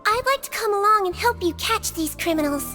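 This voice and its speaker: An actress voicing a tiny, earnest young nurse in a video game, sweet and politely stubborn. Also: female voice, very high-pitched, expressive, brisk, music in the background.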